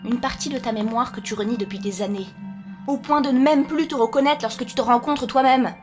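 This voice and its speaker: An actress playing a dead younger sister imagined grown up, gentle yet cutting, in a French audio drama. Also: female voice, fairly high pitch, a little fast, faint music behind, close to an everyday voice. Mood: reproachful, insistent.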